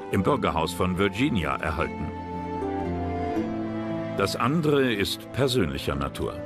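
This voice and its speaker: A low-voiced man narrates a history documentary. His delivery is measured and solemn, like careful storytelling, with music clearly playing underneath. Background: background music.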